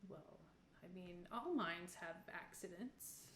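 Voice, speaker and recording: Woman as flustered middle-aged man, theatre owner, halting, defensive, tabletop game, echoey room, some background hiss. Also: fairly low pitch, animated, a bit bright. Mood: evasive, uneasy. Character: nervous, evasive middle-aged male theatre owner, trapped and bleeding.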